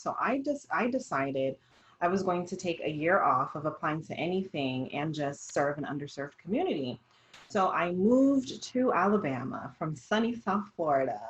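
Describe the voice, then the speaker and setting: A woman's voice: deep voice, poor audio. A woman in her thirties from Jamaica with a slight Jamaican accent, a dietitian telling her own story in an earnest, conversational way with many fillers. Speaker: dietitian, host. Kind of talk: casual conversational discussion.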